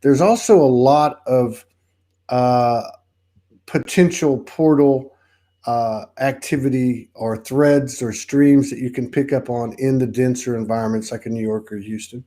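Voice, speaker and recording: A man from Texas with a Texan accent, hosting a casual spiritual interview over a video call and talking in halting, rambling runs full of restarts. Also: medium pitch, fairly even intonation, warm tone. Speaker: podcast host.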